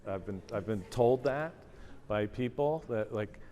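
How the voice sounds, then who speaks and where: A man with a low voice opening his lecture as the guest speaker, soft-spoken and full of fillers. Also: measured pace. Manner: soft-spoken, self-deprecating, many fillers. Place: lecture auditorium. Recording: long hall reverb, faint room noise.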